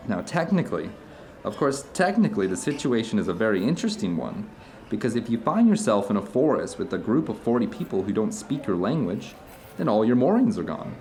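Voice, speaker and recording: A man's voice: on the low side, big pitch swings, fairly quick, darkish tone, slight background noise. A man playing an earnest theater director telling stories to an old friend in a conversational audio drama. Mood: enthusiastic. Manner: storytelling, earnest, discursive. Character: animated theater director recounting his travels to an old friend.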